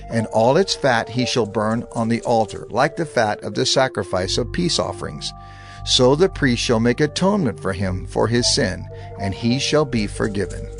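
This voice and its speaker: Gravelly male narrator over music. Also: medium pitch. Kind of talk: dramatized scripture narration.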